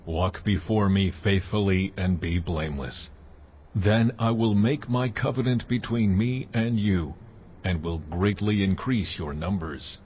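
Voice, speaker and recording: A man's voice: deep voice, fairly even intonation, measured pace, slight background noise. A man playing a solemn, majestic God in a dramatized scripture reading, speaking in a stately, declamatory way. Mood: solemn, commanding. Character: almighty God, solemn, commanding, majestic male deity. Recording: dull lo-fi sound, music underneath.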